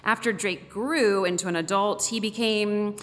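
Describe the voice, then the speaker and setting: A woman's voice: medium pitch, measured pace, fairly bright tone. A woman reading a story aloud expressively, with dramatic emphasis, as a guest reader. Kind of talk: expressive read-aloud storytelling in first person as an animal. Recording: long hall reverb.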